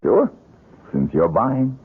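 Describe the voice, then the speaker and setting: A man's voice: lowish voice, wildly swooping pitch, slow, slightly rough, heavily acted. An actor playing a bitter, guarded, world-weary male painter in a radio drama, speaking in terse, sardonic lines. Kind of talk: old-time radio drama acting. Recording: muffled vintage radio drama recording.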